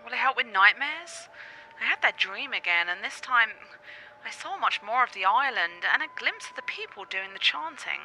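Woman acting a bright-voiced, wry, strong-willed girlfriend. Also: a little high, sing-song, moderate pace, a little hiss or hum, full character voice, poor audio. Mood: weary, troubled. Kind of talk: radio play dialogue.

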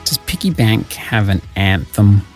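A man's voice: on the low side, expressive, measured pace, soft background music. A man interviewing a guest, asking short, friendly, curious questions.

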